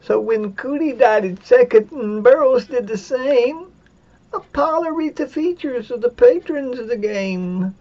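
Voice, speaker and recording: A man giving a semi-dramatic reading of a narrative poem, theatrical and drawn-out, with big swings for suspense and excitement. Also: extremely high pitch. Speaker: poetry reader. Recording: dull, lo-fi sound.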